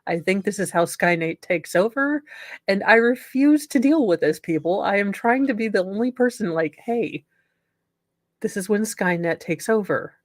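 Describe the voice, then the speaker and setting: A woman's voice: mid-range voice, expressive, normal speed, no echo, studio quality. A woman bantering casually and comically in a sarcastic, emphatic way.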